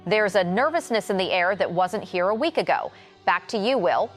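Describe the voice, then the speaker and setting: A woman's voice: medium pitch, animated, moderate pace, close to an everyday voice. A woman playing a grave, composed TV news reporter delivering an on-scene report in an audio drama. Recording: music bed under the voice.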